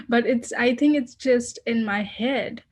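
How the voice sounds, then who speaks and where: A woman with a non-American accent, speaking hesitantly with many pauses in a group discussion. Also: fairly high pitch, breathy edge.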